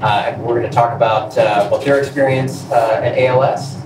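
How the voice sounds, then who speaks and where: A man's voice: medium pitch, steady tone, fairly quick. Man moderating, roomy echo, background noise. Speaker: panel moderator. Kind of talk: unscripted town hall hosting. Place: large indoor room, seated on a stage. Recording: roomy echo, distant mic, noisy room.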